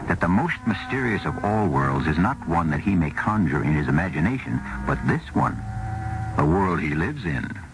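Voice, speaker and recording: A man narrating as host of an old radio mystery show, theatrical, deliberate and ominous. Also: sits low, very animated, gravelly, low-quality recording. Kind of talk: dramatic scripted radio narration. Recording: old radio broadcast, loud music behind.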